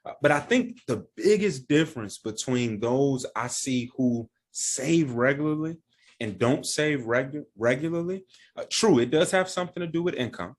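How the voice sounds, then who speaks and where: A man, a financial advisor and founder of his firm, explaining retirement planning step by step in plain words. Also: mid-range voice. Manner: plain-spoken, walks through examples step by step.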